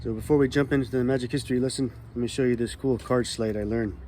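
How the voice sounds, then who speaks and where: A man hosting his own channel, reading magic history from a book aloud in a calm, relaxed manner. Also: mid-range voice, quick pace, a little hiss or hum. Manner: calm, relaxed delivery meant to soothe. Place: California.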